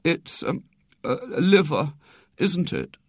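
A man's voice: sits high, animated, unhurried, sharp tone, no echo, studio quality, voice pushed up, exaggerated voice. A man voicing an anxious, hesitant schoolboy who stammers as he feigns illness in a storybook reading. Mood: tentative.

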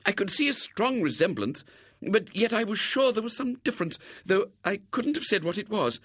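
A man's voice: high-pitched, sing-song, normal speed, breathy edge, no room sound, pitched above the performer's own voice, heavily acted. A man voicing a severe, prim and gullible woman in a storybook, with a proper manner. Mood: chatty, confiding. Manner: grim and sharp, softening to gracious.

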